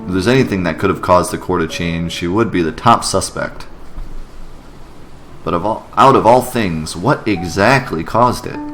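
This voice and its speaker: Man voicing a weary, wry low-voiced professional. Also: normal speed, fairly bright tone. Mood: pensive, puzzled. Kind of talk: video game character voicing. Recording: music behind the voice.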